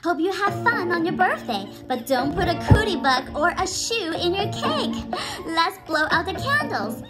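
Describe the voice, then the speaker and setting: A young woman leading an interactive preschool storytime, animated and exclaiming, coaxing listeners with questions and drawn-out words. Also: very high-pitched. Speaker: children's storytime teacher. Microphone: phone or tablet video call, close to face. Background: music.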